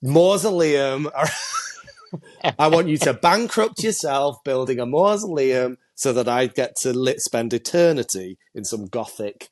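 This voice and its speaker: A middle-aged man, chatting casually as co-host, a bit stuffy from a cold and quick to laugh at himself. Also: medium pitch, expressive, darkish tone. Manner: chatty, self-mocking, laughs often. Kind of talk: casual chat.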